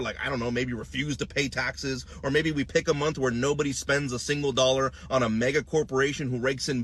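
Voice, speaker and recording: A man's voice: fairly high pitch, fairly bright tone. A man in a social media clip, ranting sarcastically at his viewers. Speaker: social media video maker.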